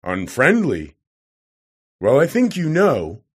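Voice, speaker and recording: A man's voice: medium pitch, huge pitch swings, slow, breathy edge, full character voice. Man acting a roaring, arrogant bearded professor. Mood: challenging. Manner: bellowing, mocking, challenging. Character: huge, bearded, roaring, hot-tempered, arrogant male professor. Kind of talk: audiobook character voice.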